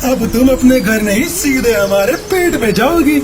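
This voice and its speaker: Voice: sits high, lively intonation, moderate pace. A performer voicing a greedy, menacing eagle in a children's cartoon, boastful and threatening. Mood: gloating, menacing. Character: menacing, greedy hunting eagle that preys on small birds. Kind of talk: Hindi children's cartoon voice acting. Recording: loud music under the voice.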